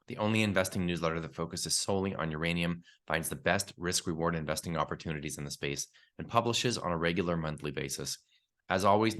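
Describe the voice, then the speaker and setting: A man with a very deep voice walks listeners through a market update podcast, sounding stuffy and congested from a cold. He keeps an even, analytical delivery and drops in the odd "uh" as he goes. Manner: steady analytical delivery with fillers. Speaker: podcast host and newsletter publisher.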